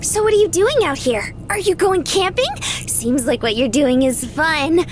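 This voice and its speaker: A woman's voice: high voice, expressive, unhurried, noisy background. A woman playing an eager, naive young hitchhiker in a visual novel, with bouncy, childlike enthusiasm. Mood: bubbly, excited. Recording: game dialogue with music behind.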